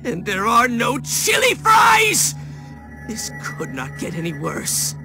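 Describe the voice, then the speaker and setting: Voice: mid-range voice, wildly swooping pitch, slow pace, gravelly, music in the background, exaggerated voice. An actor voicing a bitter, whiny teenage boy villain in a video game cutscene, ranting theatrically. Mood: furious.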